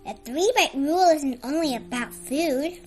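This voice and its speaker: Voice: high voice, normal speed, faint music behind, lightly acted. A storyteller voicing an encouraging, cheerful mother cat in a children's book, with firm, upbeat coaching.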